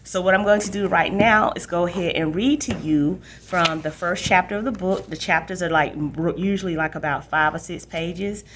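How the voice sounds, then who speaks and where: A woman's voice: lowish voice, normal speed, hardly any pauses, a little hiss or hum. A woman hosting a book session for tweens, talking casually and reading aloud. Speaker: host, library book presenter.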